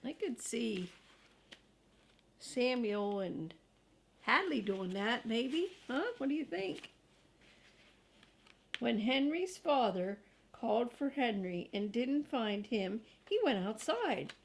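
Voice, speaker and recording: An older woman reading a children's storybook aloud in an expressive, grandmotherly way, drawing out key words and adding chatty asides for young listeners. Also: fairly high pitch, takes it slowly, pauses often, a little hiss or hum.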